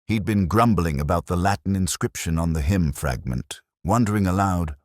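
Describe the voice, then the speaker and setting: A man narrating a first-person detective story in a measured, brooding, literary manner. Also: dry recording, studio quality. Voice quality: very deep.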